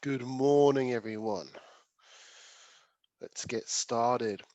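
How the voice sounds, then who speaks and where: Croaky-voiced man presenting a sales webinar. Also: medium pitch, slow, darkish tone, dry recording. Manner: rambling, filler-heavy, self-correcting delivery. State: croaky voice from a chest infection. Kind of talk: casual presentation to an online audience.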